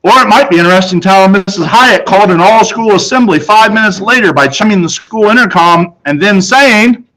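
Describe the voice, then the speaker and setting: A man's voice: high voice, expressive, a little fast, sharp tone, poor audio. A man reading aloud to children as a storyteller, in the manner of a teacher narrating a book. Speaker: audiobook reader, teacher.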